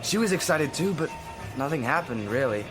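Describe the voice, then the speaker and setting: A man plays a naive boy of sixteen in a radio drama. His voice sits a little high and comes out earnest and halting, full of young love. Music plays clearly underneath. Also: animated, close to an everyday voice, low-quality recording. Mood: embarrassed, confiding. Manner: hesitant, earnest. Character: naive, eager, lovesick sixteen-year-old American boy.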